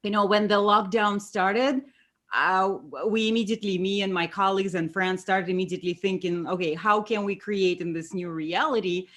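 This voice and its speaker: A woman from Russia, an actress chatting on a video call, quick and laughing often. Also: mid-range voice, steady tone, fairly bright tone. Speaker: actress guest. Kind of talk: casual video call chat.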